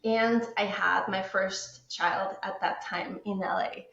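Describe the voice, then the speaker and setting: A woman from Austria with an Austrian German accent, German her first language, calmly telling her story as a podcast guest from a home office. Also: mid-range voice, slow, vocal fry, echoey room, muffled recording. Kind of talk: podcast interview.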